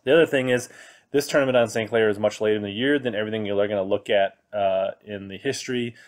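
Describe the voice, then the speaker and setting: A man in his thirties with a somewhat low voice talks through fantasy fishing picks in an easygoing, conversational way. He fills his pauses with plenty of ums and uhs as he reads along from web pages. He speaks from an indoor room into a desk mic. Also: fairly even intonation. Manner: conversational, many fillers, reading from web pages. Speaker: fantasy fishing podcast host. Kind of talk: casual commentary on fantasy picks. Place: indoor room, screen recording with webcam inset.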